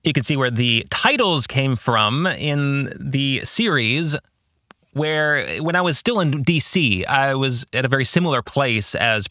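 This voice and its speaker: Bright-voiced American man, lively podcast monologue. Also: mid-range voice, a little slow, dry recording, studio quality. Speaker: podcast host and author.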